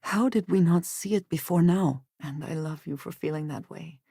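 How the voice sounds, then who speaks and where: A woman playing a guarded, earnest space explorer in a video game, speaking in measured, formal and precise phrases. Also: low-pitched, creaky, mellow, husky, dry recording, studio quality, heavily acted. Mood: earnest, thoughtful. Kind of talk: video game character dialogue lines.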